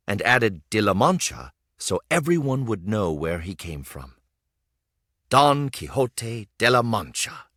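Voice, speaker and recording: Low-voiced, animated male storyteller. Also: dry recording, studio quality. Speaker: storybook reader. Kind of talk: expressive scripted read-aloud narration of a children's story.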